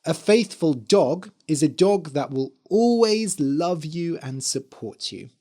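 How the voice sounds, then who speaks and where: A podcast host and English teacher with a slightly hoarse, dry voice, explaining things clearly and carefully for language learners. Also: medium pitch, expressive, takes it slowly, mellow. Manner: careful enunciation, spelling words out letter by letter.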